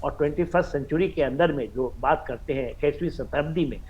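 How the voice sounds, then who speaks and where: An Indian man giving expert commentary on a news panel, halting and repeating himself, mixing in English phrases. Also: on the high side, darkish tone, highs cut off. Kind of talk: live news panel analysis.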